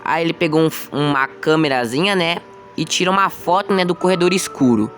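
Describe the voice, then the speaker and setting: Young male video host, Brazilian accent, higher-pitched, informal conversational storyteller over prominent music. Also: a little fast, fairly bright tone. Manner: informal, conversational, addresses viewers directly. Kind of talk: casual explainer storytelling.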